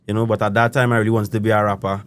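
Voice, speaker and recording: A man's voice: on the low side, flat delivery, racing, a little hiss or hum. A young Trinidadian man with a Trinidadian accent, a musician being interviewed, talking casually and rambling with loose island phrasing and lots of "you know". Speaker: guest musician.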